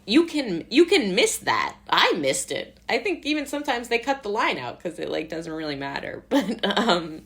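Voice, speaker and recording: A woman's voice: mid-range voice, very animated, moderate pace, hardly any pauses, a bit bright. An American woman from Brooklyn talking casually as an interview guest, informal, rambling, amused and self-deprecating. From Brooklyn, United States.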